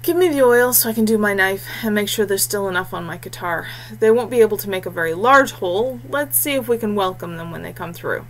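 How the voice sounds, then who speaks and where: A woman's voice: mid-range voice, fairly quick, hardly any pauses. A woman voicing a tired, earnest young male heir and fighter in a fantasy audiobook. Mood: tense. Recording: clear steady background noise and hum.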